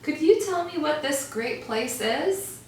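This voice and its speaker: A woman voicing a small, eager male mouse searching for a home, in a storybook reading, with a raised, excited voice. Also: high-pitched, unhurried, heavy reverb, recorded from a distance, a little hiss or hum, pitched above the performer's own voice. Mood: polite.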